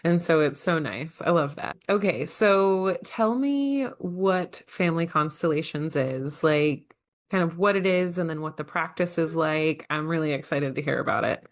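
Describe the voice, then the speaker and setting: A woman with a notably low voice hosts a casual podcast. She talks eagerly and loosely, with a string of filler words. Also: normal speed. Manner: chatty, enthusiastic, many "like" fillers. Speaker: podcast host.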